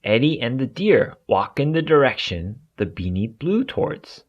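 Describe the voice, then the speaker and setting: A man narrating a children's storybook aloud in an expressive way for young listeners. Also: medium pitch, moderate pace, highs cut off.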